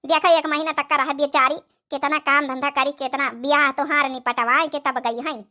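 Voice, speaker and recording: Cartoon role: woman performer as elderly Awadhi mother-in-law, very high bright voice, doting, coaxing rural manner. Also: fairly even intonation, normal speed. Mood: wistful, homely. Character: patient old village mother-in-law, doting and soothing. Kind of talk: Awadhi comedy cartoon voice acting.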